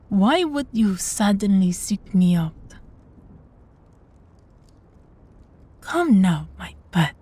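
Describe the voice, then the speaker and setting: Woman as a slow, breathy, sadistic vampire mistress. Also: mid-range voice, mellow. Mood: quietly threatening. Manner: slow, teasing, condescending, often dropping to a breathy whisper. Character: sadistic, possessive female vampire master, silkily menacing. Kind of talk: intimate roleplay audio drama.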